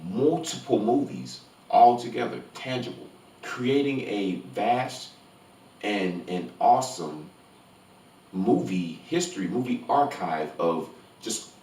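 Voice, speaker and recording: A man with a low voice talks like a fan reviewing a film for his vlog. He is emphatic and gushing, repeating words to drive them home. The sound is roomy and echoing, picked up some way from the microphone. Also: animated, slow pace, a little mellow, slight background noise. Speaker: movie review vlogger. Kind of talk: casual spoiler-free film review to camera. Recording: roomy echo, distant from microphone.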